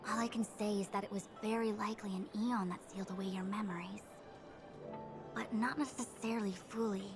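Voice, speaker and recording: A woman's voice: mid-range voice, fairly even intonation, a little fast, close to an everyday voice. A woman voicing a composed, formal master diviner in an anime game, speaking with precise authority. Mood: analytical. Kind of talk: scripted anime game dialogue. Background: music.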